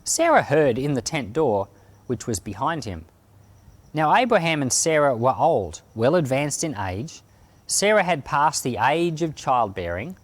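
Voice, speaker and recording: A man reads a Bible passage aloud in an animated, expressive way. His pitch moves widely as he narrates, and he phrases each line with deliberate care. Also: dry recording. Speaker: Bible podcast reader and pastor. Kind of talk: scripture reading, dramatized narration.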